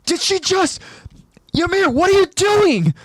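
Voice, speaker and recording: A man voicing a hot-headed young male soldier in an anime story, urgent and blunt, with a strained, yelling voice. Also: expressive, a little slow, dry recording, clean studio sound, exaggerated voice. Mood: stunned. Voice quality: strained yelling, high and shouted.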